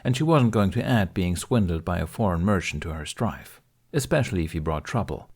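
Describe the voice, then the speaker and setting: A man's voice: deep voice, expressive, fairly quick, a little mellow, husky. A man reading scripted story narration as the narrator of an audiobook.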